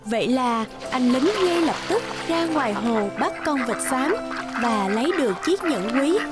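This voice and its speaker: A woman narrates a children's fairy tale from a script. Her voice is notably high. Music runs clearly behind her. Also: lively intonation, moderate pace. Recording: prominent music bed behind the voice.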